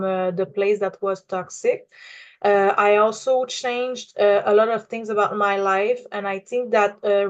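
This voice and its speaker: A woman in her twenties from Quebec with a Quebec French accent, hesitantly telling her own story with many pauses and fillers, English not her first language. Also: mid-range voice, muffled recording. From Quebec, Canada. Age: 20s. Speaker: client giving a testimonial. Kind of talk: unscripted personal testimonial.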